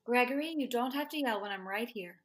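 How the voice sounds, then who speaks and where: A brisk suburban housewife, played by a man in a radio sitcom parody. The voice is very high, and she talks in a practical, bossy, matter-of-fact way. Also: a bit bright. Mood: brisk, nagging.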